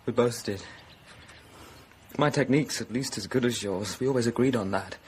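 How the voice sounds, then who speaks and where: An actor playing a worldly, pragmatic young painter in a radio drama, sardonic and persuasive with a trace of envy. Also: male voice, mid-range voice, normal speed, darkish tone, a little hiss or hum, close to an everyday voice. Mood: wistful, defeated. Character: worldly, pragmatic young male painter friend, wry and envious.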